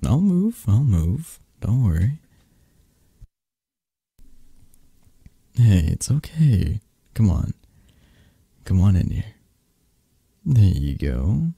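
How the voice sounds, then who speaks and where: A man playing a possessive, flirtatious mafia boss in an intimate ASMR romance roleplay, murmuring sleepily and teasingly with a breathy, husky voice. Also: fairly low pitch, warm tone, no room sound, exaggerated voice. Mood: soothing, tender.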